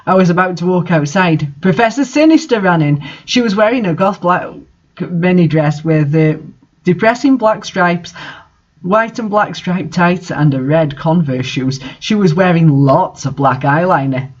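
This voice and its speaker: A woman's voice: on the low side, animated, measured pace. Woman reading a story, slightly muffled. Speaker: fan fiction reader. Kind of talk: dramatic story reading with asides.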